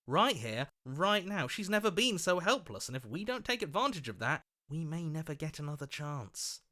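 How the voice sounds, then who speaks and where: A man voicing a scheming, flattering opportunist in a video game, with an oily, ingratiating and theatrical delivery. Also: a little high, normal speed. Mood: conspiratorial.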